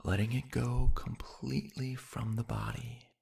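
Male sleep-hypnosis narrator: lowish, husky with some fry, soft, unhurried delivery with long pauses. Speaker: guided meditation narrator. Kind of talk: sleep hypnosis guided meditation, slow scripted narration.

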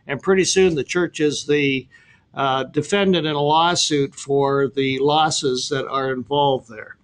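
Man, middle-aged, webinar co-presenter, higher voice, plain-spoken, lightly joking. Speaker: insurance company president presenting a webinar.

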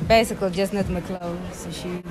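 A woman's voice: fairly low pitch, steady tone, fairly quick. A young woman giving short, casual answers as an interviewee. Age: young adult. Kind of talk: casual chat. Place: indoor shopping mall. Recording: busy mall crowd, background music.

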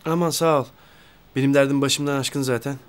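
An actor playing a brisk, scheming office colleague in a TV drama. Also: male voice, mid-range voice, fairly even intonation, clear, resonant tone, close to an everyday voice. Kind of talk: TV drama acting. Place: office, on set.

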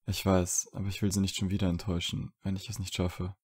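A man's voice: low-pitched, completely flat delivery, fast talker, darkish tone, husky, no echo, studio quality. A man playing a troubled, dryly teasing young wizard in an audio drama. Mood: weary, guarded. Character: troubled young wizard, dry and teasing, trying to quit drinking. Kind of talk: fan fiction audio drama dialogue.

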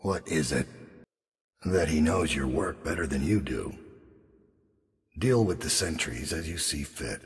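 Very deep, gravelly brooding assassin. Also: male voice, lively intonation, full character voice. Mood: cold, measured. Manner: grim, deliberate, measured. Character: brooding, world-weary middle-aged male master assassin, menacing and remorseful. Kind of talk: video game voice acting, monologue and combat lines. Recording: long reverb, music underneath.

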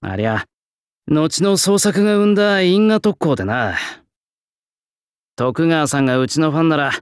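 A man acts out a young male swordsmith from an anime-style game, proud of his craft and plain-spoken. His voice sits a little high and swings widely in pitch. He talks in rough, old-fashioned workman's phrases, recorded dry with no room sound. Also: animated, normal speed, dry recording, lightly acted. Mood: gruff, concerned. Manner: rough, blunt, old-fashioned working-class turns of phrase. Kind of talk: anime-style mobile game character lines.

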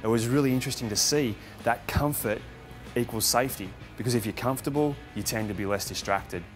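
A man's voice: medium pitch, fairly quick. Aussie presenter guy, chatty and keen, music going behind him. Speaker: motorcycle magazine presenter. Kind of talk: talking to camera. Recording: music playing under the voice.

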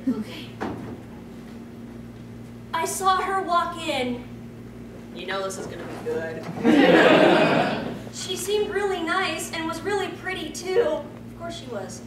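A woman's voice: extremely high pitch, expressive, slow, long pauses. A young woman hosting a panel, giving a comedic live reading with wry asides and projecting her voice to the room. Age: young adult. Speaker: convention panel host. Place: small convention panel room. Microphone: far camera. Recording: echoey room, distant camera, noisy.

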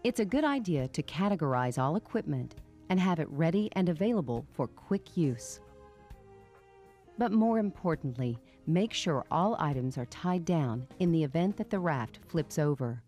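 A woman reading scripted instructional narration as a narrator, in a measured, clearly enunciated manner. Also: sits low, expressive, warm tone, faint music behind.

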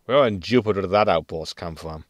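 A man playing a gruff, roguish spaceship captain in a sci-fi comedy audio drama, curt, wry and easily irked. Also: deep voice, brisk, no echo, natural voice. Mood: impatient.

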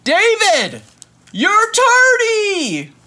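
An American man voicing an exasperated, scolding schoolteacher from a storybook, shouting drawn-out commands. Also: extremely high pitch, huge pitch swings, voice pushed up, full character voice. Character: exasperated, scolding elementary school teacher yelling orders.

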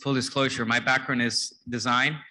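A man in his thirties from Costa Rica, a designer on a conference panel, speaking relaxed and frank into a handheld mic. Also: mid-range voice, moderate pace, a little hiss or hum. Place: conference stage. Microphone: handheld microphone.

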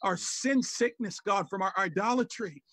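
A man's voice: high-pitched, normal speed, fairly bright tone, no room sound. A man praying aloud fervently, peppering nearly every phrase with repeated appeals to God. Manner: fervent, constantly interjecting "God" and "Lord". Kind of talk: extemporaneous prayer.

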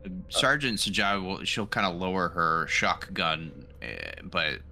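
Very low, gravelly, forceful male player. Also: lively intonation, a little slow, slight background noise. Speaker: tabletop game player. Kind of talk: casual role-play table talk.

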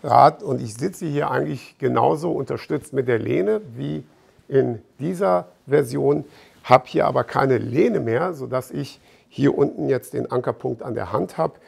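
Middle-aged man, heavy creak, husky tone, fitness presenter, informal explaining, deliberate pauses. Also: mid-range voice, darkish tone. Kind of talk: informal product explanation to camera. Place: bright gym showroom indoors with large windows.

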